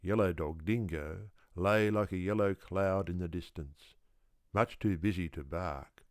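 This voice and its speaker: A man with a very deep voice narrates a children's story. His delivery is slow and deliberate, with rhythmic, theatrical phrasing. The recording is close and very dry. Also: no echo. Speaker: audiobook reader.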